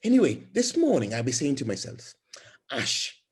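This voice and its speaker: A man's voice: medium pitch, huge pitch swings, normal speed, darkish tone, slightly rough, pitched above the performer's own voice, exaggerated voice. A man voicing a friendly, chatty young mother in an audiobook, rambling and hesitant as a new neighbour. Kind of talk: audiobook character dialogue.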